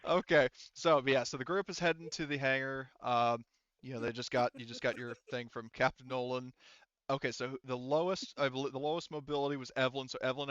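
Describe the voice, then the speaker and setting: A man running a tabletop roleplaying game, narrating casually in a halting, rambling, sweary way on a close mic. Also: mid-range voice, a bit bright, smooth, no echo, highs cut off. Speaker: game master.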